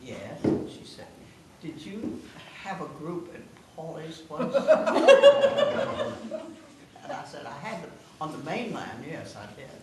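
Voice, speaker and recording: A woman's voice: sits low, very animated, measured pace. A 98-year-old woman telling stories casually among family in a giggly, playful manner. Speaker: guest of honor reminiscing. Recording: noisy, echoey room, distant mic.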